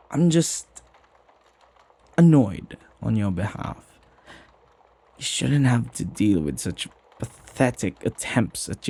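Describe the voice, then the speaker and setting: A man playing a flirty, devoted butler in an intimate ASMR roleplay, with genteel, formal phrasing and a husky voice. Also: medium pitch, a little mellow, exaggerated voice. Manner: formal, genteel phrasing with teasing familiarity and sighs. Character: flirty, gossipy, devoted butler, jealously protective of master.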